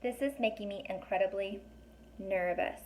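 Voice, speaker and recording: A woman reading the lines of a sarcastic, worrying young boy in a storybook, with a deadpan grumble. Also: medium pitch, normal speed, lightly acted, low-quality recording. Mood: uneasy.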